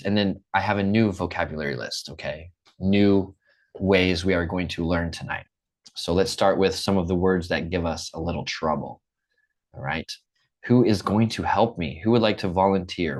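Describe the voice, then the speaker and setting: A young American man from the Midwest teaching an English class online, upbeat and checking in often. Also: deep voice. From Midwest United States. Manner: upbeat, repeats and checks in with "okay?".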